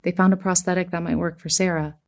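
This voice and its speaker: A woman's voice: lowish voice, level tone, fast, creaky. An actress playing a composed, fair-minded female leader of a survivor settlement, orderly and decisive, in an audio drama.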